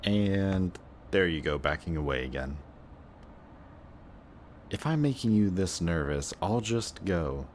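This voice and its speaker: A man's voice: low-pitched, animated, slow pace, pauses often, a little hiss or hum. A young man playing a cocky, sarcastic antihero villain with a secretly kind streak, talking in casual, teasing banter in a roleplay audio drama. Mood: casual, coaxing. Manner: casual, sardonic, teasing banter with muttered asides.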